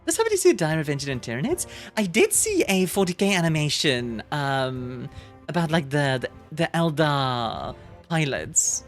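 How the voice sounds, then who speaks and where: A woman's voice: low-pitched, very animated, moderate pace, faint music behind. A woman from Belgium with a British-leaning English accent, streaming and chatting casually with her viewers, bubbly and giggly with lots of fillers. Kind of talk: casual chat reading live chat.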